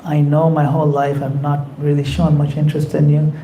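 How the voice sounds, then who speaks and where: Man teaching, mellow and slightly high. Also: monotone, a little fast, slight background noise. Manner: conversational, acts out quoted voices. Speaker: spiritual teacher. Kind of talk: temple class with Q&A. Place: temple hall.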